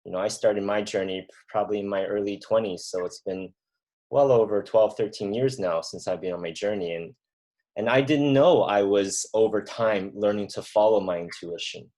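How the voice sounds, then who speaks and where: A man in his thirties from mainland China hosting a reflective conversation, talking in an earnest, unhurried way and thinking aloud with fillers and restarts. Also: lowish voice, darkish tone, rough recording. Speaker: podcast host.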